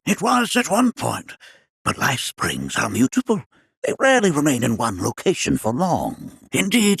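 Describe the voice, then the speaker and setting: A man playing a whimsical, absent-minded elderly scholar in a video game, raspy and creaky, speaking in formal, old-fashioned phrases. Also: medium pitch, no room sound, heavily acted. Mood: pensive, instructing. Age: older. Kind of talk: video game character acting.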